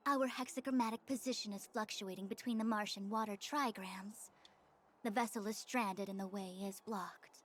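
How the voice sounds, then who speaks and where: A woman voicing a proud, exacting female diviner in a video game, speaking with imperious, formal, measured diction. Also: medium pitch, fairly even intonation, lightly acted. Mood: grave, self-important.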